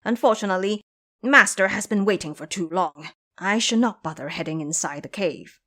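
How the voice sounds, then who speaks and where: A woman's voice: mid-range voice, moderate pace, a bit bright, natural voice. Woman acting out a whiny, gluttonous pig monk. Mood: pleading, apologetic. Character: gluttonous, simple-minded male pig monk, pleading and grumbling.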